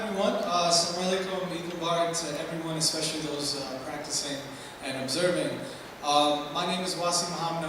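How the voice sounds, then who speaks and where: A man introducing himself on a community panel in a friendly, loose, chatty way. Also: a little high. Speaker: executive director of a religious center. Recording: echoey hall, distant mic, noisy.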